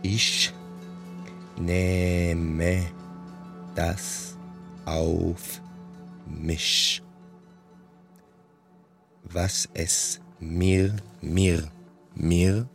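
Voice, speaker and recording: Very slow, deep man intoning fragments. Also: creaky, heavily acted. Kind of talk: slow, fragmented, repetitive recitation over music.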